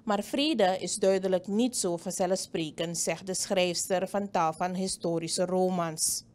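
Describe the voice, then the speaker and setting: A woman's voice: lowish voice, a little slow, a little hiss or hum. Woman reading a news voice-over. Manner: steady, even reading. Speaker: news reporter.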